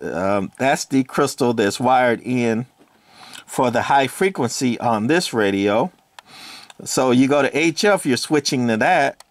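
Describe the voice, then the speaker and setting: A man of middle pitch talks his way through a radio chassis in a relaxed, explanatory manner, with plenty of ums and you-knows. The sound is dry and close, recorded on a camera held over a workbench. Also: no room sound. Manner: relaxed, explanatory, many fillers, talks to the parts. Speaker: radio repair hobbyist host. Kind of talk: casual hands-on equipment walkthrough. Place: workbench, indoors.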